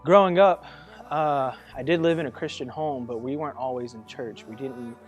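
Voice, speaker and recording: A man in his mid-twenties giving a halting personal testimony. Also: mid-range voice, faint music behind. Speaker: church member giving testimony.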